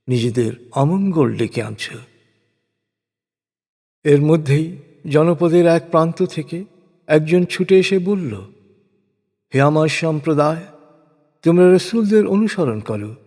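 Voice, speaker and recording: A man gives a solemn, scripted recitation of translated scripture in a measured, declamatory way. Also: on the high side, warm tone. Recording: long hall-like reverb.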